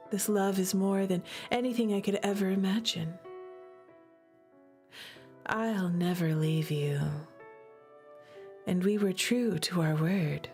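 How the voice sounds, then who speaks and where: Slow, solemn lovestruck woman, acted by a streamer. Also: medium pitch, a little mellow, close to an everyday voice. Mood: tender, devoted. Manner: solemn, drawn-out, deliberate phrasing. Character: devoted, lovestruck female lover narrating a tragic poem. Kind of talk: dramatic poetic reading.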